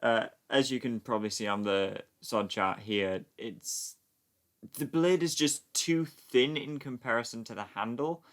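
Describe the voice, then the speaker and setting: A man's voice: mid-range voice, measured pace. A man giving casual, joking commentary on a film in a mock-pedantic, analytical way. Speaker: fan dub commentator.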